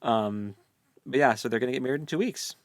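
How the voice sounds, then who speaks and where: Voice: mid-range voice, a little fast, no room sound. Book podcast host riffing casually, tongue in cheek, repeats phrases, mid voice, really dry close sound. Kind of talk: casual chat, wry commentary on a novel.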